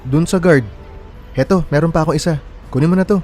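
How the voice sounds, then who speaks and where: A man's voice: fairly high pitch, fast talker, darkish tone, soft background music, lightly acted. An actor playing a friendly young man in a radio drama, talking in a casual, conversational way. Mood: polite, helpful. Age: young adult. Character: friendly, worried young man waiting at a bus terminal. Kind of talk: naturalistic radio drama dialogue in Tagalog.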